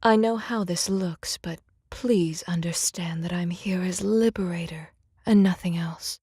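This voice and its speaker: A woman playing a mysterious, calmly commanding goddess, measured and formal, in an audio drama. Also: fairly low pitch, husky, no room sound, studio quality. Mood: reassuring. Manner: measured, formal, self-assured. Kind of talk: audio drama character acting. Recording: music under the voice.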